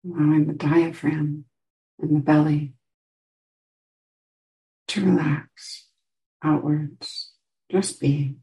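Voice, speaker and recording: A woman teaching a guided meditation, giving gentle instructions with long pauses between short phrases. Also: sits low, steady tone, low-quality recording.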